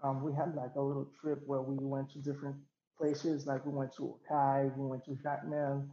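A man's voice: mid-range voice, monotone, moderate pace, mellow, roomy sound. Laid-back Haitian man on a muffled call. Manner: laid-back, rambling with many fillers and restarts. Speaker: DJ and interview guest. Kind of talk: casual interview over a call.

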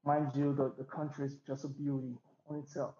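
A young man from Haiti, a DJ, talking in a relaxed, rambling way with many fillers, as a guest on a video call. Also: medium pitch, a little fast, echoey room, muffled recording, slight background noise. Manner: laid-back, rambling with many fillers and restarts. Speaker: DJ and interview guest. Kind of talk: casual interview over a call.

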